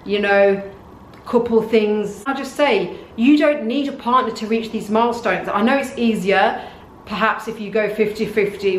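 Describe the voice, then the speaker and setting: Woman vlogging in an echoey room. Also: a little hiss or hum. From North Africa. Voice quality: says she has a deep voice. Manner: says her voice and face are monotone. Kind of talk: casual opinion monologue to camera.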